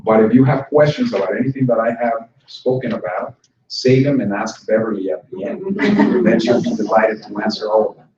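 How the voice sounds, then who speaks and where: A man presents a workshop to fellow instructors, lively and joking, slipping in a few words. He sounds far from the microphone in a roomy conference hall, and the recording is dull and muffled. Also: lowish voice, normal speed, a little hiss or hum. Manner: lively, joking, with brief Spanish asides. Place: conference room.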